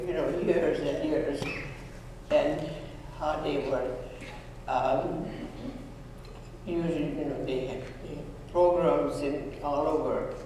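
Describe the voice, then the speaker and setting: A woman, giving an earnest, halting personal testimony to students, often restarting her sentences. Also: low-pitched, background noise. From Hungary. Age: 90s. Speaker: Holocaust survivor speaking to students. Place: school room or auditorium. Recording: echoey hall, voice from far off.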